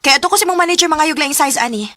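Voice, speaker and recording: An actress playing a devoted young working daughter in a radio drama, speaking with a Cebuano accent. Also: female voice, high-pitched, rapid-fire, noisy background, lightly acted. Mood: insistent. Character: Dali, devoted working daughter, young woman narrating her story. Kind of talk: radio drama acting and narration.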